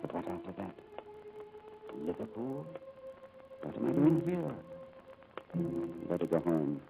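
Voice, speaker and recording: A bewildered English army captain in an old radio play, deep-voiced and courteous. His speech swings widely in pitch, clipped yet halting, over music on a vintage recording. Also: big pitch swings, vocal fry, exaggerated voice, low-quality recording. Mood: brooding, bewildered. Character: dazed courteous amnesiac army captain, upper-class English gentleman. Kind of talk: 1940s radio acting.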